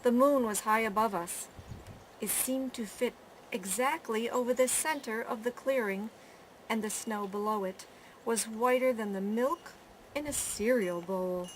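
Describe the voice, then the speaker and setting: Slow, high-voiced woman reading a children's story. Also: rough recording. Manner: measured phrasing with pauses and drawn-out words. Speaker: storyteller reading a picture book aloud. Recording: faint background noise.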